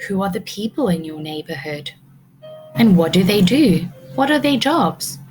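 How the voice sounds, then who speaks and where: A woman's voice: mid-range voice, big pitch swings, moderate pace, a little mellow, soft background music. A woman teacher reading a picture book aloud to young children, expressively, prompting them with questions.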